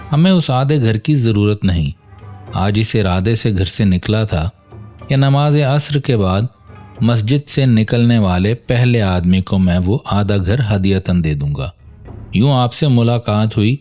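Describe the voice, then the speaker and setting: Low-voiced man telling a moral story over music. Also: a little mellow. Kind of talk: scripted narration of a moral religious story.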